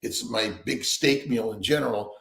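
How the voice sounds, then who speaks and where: A man's voice: mid-range voice, normal speed, creaky, a bit bright, roomy sound. A man hosting a casual live-stream chat, rambling and upbeat, with many restarts. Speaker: host.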